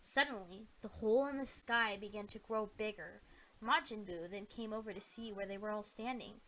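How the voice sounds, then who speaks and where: A woman's voice: mid-range voice, moderate pace, bright, forceful tone, rough recording. A woman narrating an amateur audio play, reading scripted story narration.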